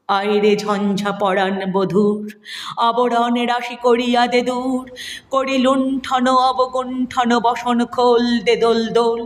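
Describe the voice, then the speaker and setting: Woman reciting verse in long reverb. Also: sits high. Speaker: poetry reciter. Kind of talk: dramatic Bengali poem recitation. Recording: roomy echo with long reverb.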